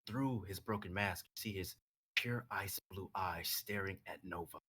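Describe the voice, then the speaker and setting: A man's voice: deep voice, unhurried, bright, forceful tone, slightly rough. A young man on a live stream, reading his own dramatic script and chatting casually about it. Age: young adult.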